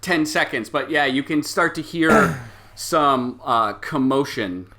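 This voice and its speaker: Male game master, sharp bright tone, improvised tabletop narration, halting delivery. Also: mid-range voice.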